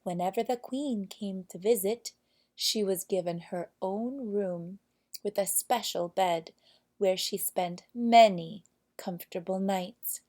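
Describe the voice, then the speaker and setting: A woman narrating a children's fairy tale from a storybook, with deliberate, expressive phrasing. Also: mid-range voice. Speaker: storybook reader.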